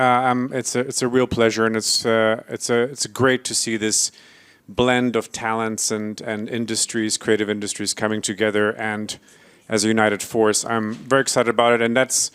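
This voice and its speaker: A man's voice: medium pitch, a bit bright, clear, resonant tone. A man in his forties from Belgium giving a conference keynote, hesitant with many fillers and restarts, cracking self-deprecating jokes. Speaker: keynote speaker. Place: conference stage before an audience.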